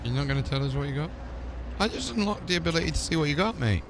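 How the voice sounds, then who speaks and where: A man's voice: on the high side, animated, rapid-fire, noisy background. A man giving casual live gaming commentary, chattily talking to himself and answering game characters as he plays. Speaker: game streamer. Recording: game music behind the voice. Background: video game music and sound.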